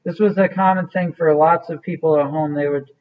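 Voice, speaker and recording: A man's voice: on the high side, fairly even intonation, fairly quick, highs cut off. A man teaching a hands-on craft demonstration in a casual, informal way, thinking aloud as he works. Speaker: art teacher.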